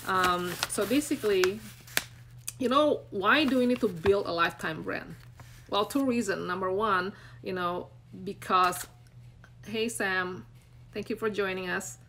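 A woman's voice: medium pitch, unhurried, fairly bright tone, slight background noise. A woman in her forties from Indonesia with an Indonesian accent, speaking on a live stream from her phone in a small room, rambling and earnest. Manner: rambling, filler-heavy, earnest and encouraging. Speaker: brand coach hosting a live stream. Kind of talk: unscripted live-stream talk to viewers. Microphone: phone camera at arm's length.

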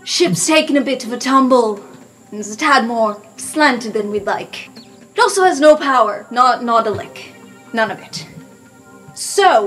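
A woman voicing a gruff, hearty, bearded pirate captain of a spaceship, blustering in pirate slang in an improvised game. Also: very high voice, a bit bright. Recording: music behind the voice.

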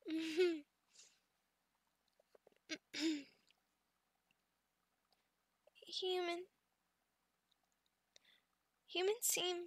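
A woman voicing a young adult female goblin in an ASMR roleplay, childlike, sweet, devoted and timid, speaking in short halting phrases of broken third-person speech. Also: very high-pitched, sharp tone, dry recording, clean studio sound, exaggerated voice. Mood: happy, proud, then anxious, insecure.

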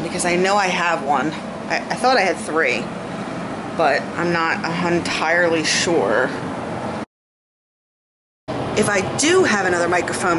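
A woman in her thirties with a low voice talks to her camera mic at close range in a small home room. She thinks aloud in a chatty, easygoing way, and steady background noise sits under her voice. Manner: chatty, thinking aloud while sorting gear. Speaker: beauty and music vlogger. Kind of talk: casual vlog chat. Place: small home kitchen, then a home office. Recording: audible background noise, rain and thunder outside.

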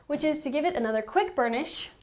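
Higher-pitched young woman giving tutorial instructions. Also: lively intonation, fast, a little mellow. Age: young adult. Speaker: instructional video presenter. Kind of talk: step-by-step tutorial narration.

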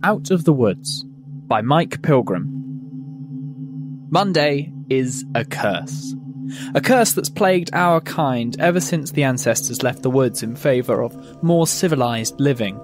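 Man narrating a story over clear music. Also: medium pitch, lively intonation, measured pace. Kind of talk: first-person scripted story narration.

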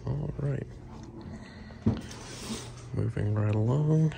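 Casting note: man, low gravelly voice, relaxed chatty vlog narrator on a phone in a busy shop. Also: extremely slow. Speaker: antique booth owner touring a mall. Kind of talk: casual walk-through vlog narration. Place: large indoor antique mall. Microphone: handheld phone camera. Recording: shop noise behind voice. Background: shop ambience.

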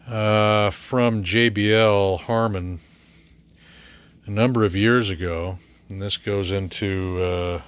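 A middle-aged American man giving a casual, halting, matter-of-fact walkthrough as a repair technician, filming in his workshop. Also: lowish voice, a bit bright. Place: cluttered indoor repair workshop. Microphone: handheld camera or phone mic. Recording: faint background noise.

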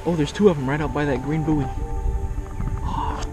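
A man's voice: fairly even intonation, normal speed, over music. A young man vlogging from a kayak on open water, commenting in breathless, awed exclamations through an action camera. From raised in Oklahoma, born in Kansas. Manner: breathless awed exclamations, often rising high. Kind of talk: excited outdoor vlog commentary. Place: kayak on open sea, outdoors. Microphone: action camera mounted on kayak.

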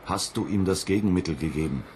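A man's voice: low voice, fast, darkish tone, slight background noise, natural voice. A man playing a stern, controlling mission control chief in a German radio play, clipped and official. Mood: cold, commanding.

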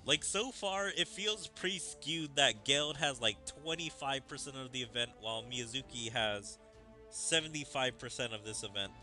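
A man's voice: mid-range voice, normal speed, pushed and bright, soft background music. A man giving casual commentary as he streams a story game. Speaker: gaming streamer. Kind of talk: casual game commentary and read-aloud of dialogue.

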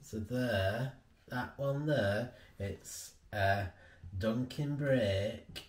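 A man's voice: medium pitch, drawn-out words. A man, casually describing food to camera. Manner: easygoing, descriptive. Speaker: vlog co-host. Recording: roomy echo, faint ambience.

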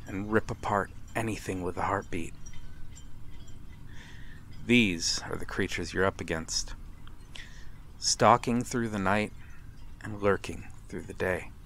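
A man playing a courtly, brooding vampire stranger, menacing and seductive, speaking softly and deliberately in an ASMR roleplay. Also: sits low. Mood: grave, ominous. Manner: soft, deliberate, theatrical, with breathy whispers. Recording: steady ambient noise, harbor soundscape.

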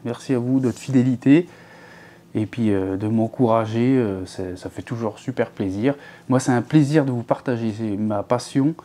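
A man's voice: medium pitch, normal speed, warm tone. A man in his thirties hosting a fishing channel, chatting casually to viewers from an armchair at home, rambling with many fillers and restarts. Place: living room at home, seated in an armchair.

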